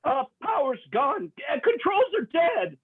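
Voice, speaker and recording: An actor playing a nervous, hesitant junior spaceship lieutenant at a comedy sci-fi table read. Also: male voice, big pitch swings, unhurried, heavily acted. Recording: muffled, roomy, low-fidelity audio.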